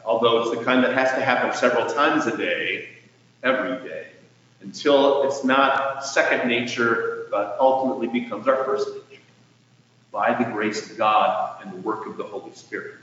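A man preaching a sermon as a pastor, speaking in a measured, earnest way with emphatic swells and soft endings to phrases. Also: medium pitch. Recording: distant mic in echoey hall, dull, faintly noisy.

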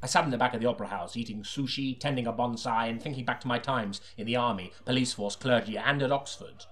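Grave, deadpan noir detective, acted by a man. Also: mid-range voice, fast talker, close to an everyday voice. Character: brooding hard-boiled noir detective narrating his case. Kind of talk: scripted noir narration, deadpan parody.

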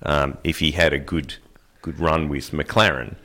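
A man's voice: rumbling low, steady tone, measured pace, bright, forceful tone. A man co-hosting a sports podcast, blunt and laid-back with a teasing streak. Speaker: podcast co-host. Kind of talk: casual sports chat. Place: small podcast studio.

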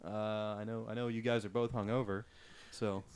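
A man's voice: on the low side, level tone, brisk, darkish tone. A man in his twenties chatting casually with friends on a podcast, loose and hesitant with many fillers. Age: 20s. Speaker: podcast co-host. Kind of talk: casual chat among friends.

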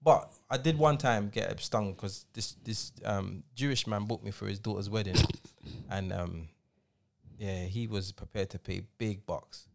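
A man's voice: on the low side, unhurried, gravelly. A man in his forties, co-hosting a podcast and telling stories in a slangy, emphatic way. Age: 40s. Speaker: podcast co-host, DJ. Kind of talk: casual chat.

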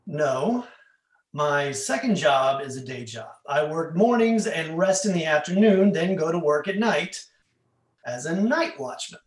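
A man reads the part of a nagging husband in a stage play, his voice on the high side. He chides and questions pointedly, with quiet menace under the words. The room rings noticeably around him. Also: expressive, measured pace. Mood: questioning. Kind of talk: play reading. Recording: echoey room.

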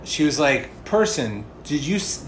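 A man's voice: a little high, very animated, unhurried. A man in his thirties chatting casually and telling personal stories as a podcast co-host. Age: 30s. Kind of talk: casual chat and personal storytelling. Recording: clearly noisy background ambience.

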